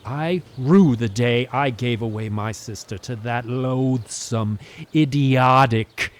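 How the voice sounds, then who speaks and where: A man's voice: mid-range voice, slow, close to an everyday voice. A man playing a haughty deposed lord in a fantasy audio drama, pompous, formal and scornful of those beneath him. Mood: indignant. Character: haughty, indignant deposed lord, sneering at peasants.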